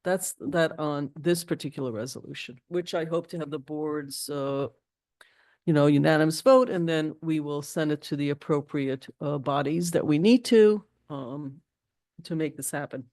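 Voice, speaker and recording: A woman's voice: deep voice, moderate pace, darkish tone. A woman speaking at a village board meeting, starting hesitantly and growing indignant and emphatic, while losing her voice. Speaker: village official presenting a resolution. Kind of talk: public meeting remarks.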